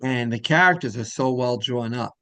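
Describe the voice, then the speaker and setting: A man's voice: mid-range voice, normal speed, a bit bright, no room sound. A man hosting a casual interview in a rambling, repetitive way, often trailing off mid-thought. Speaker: interviewer and show host.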